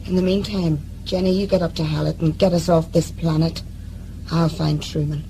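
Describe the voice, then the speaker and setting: A woman's voice: low-pitched, flat delivery, normal speed, slight background noise, poor audio. Woman playing a sardonic, hard-bitten space crew leader. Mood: forceful, scornful. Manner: sardonic, biting, forceful. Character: defiant, sarcastic, hard-bitten female scrap-ship crew leader. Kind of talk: sci-fi audio drama acting.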